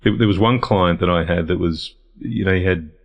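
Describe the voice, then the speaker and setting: A man's voice: low-pitched, brisk, creaky. A middle-aged man, a guest in a casual interview, speaking reflectively and often restarting or repeating words as he gathers his thoughts. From Lebanon, raised in Australia. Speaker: guest, founder of a men's health organisation.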